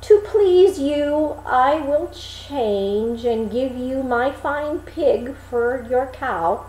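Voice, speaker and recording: A folksy storybook butcher, read by a woman, obliging and slyly persuasive. The man's lines come slowly with lively rises and falls, recorded in an echoing room. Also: sits high, heavily acted. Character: hearty male butcher, obliging, smooth-talking sly trader.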